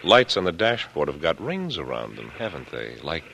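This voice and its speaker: Cold, condescending killer, American, 1940s radio thriller. Rock-bottom pitch with lively, swooping inflection; taunting and calculating; faintly noisy vintage recording. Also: male voice, normal speed, gravelly, exaggerated voice. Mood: gloating menace. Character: coolly menacing older killer, smug, patronizing and calculating. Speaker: radio actor. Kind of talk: 1940s radio drama acting.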